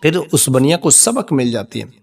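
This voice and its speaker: A man's voice: mid-range voice, very animated, moderate pace, creaky, husky. A man telling a moral folk tale informally, with conversational, repetitive phrasing. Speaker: storyteller.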